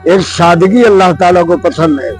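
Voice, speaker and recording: Older male interviewee, high register, impassioned and preachy, light music underneath. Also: normal speed. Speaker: member of the public interviewed. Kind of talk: impassioned spontaneous interview answer.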